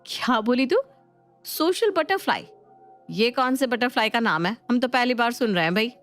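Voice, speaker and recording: A woman's voice: on the high side, animated, normal speed, a bit bright. A woman voicing a bossy, overworked elder sister-in-law who scolds in colloquial speech in a family storybook. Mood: baffled. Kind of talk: dramatised Hindi family storybook. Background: music.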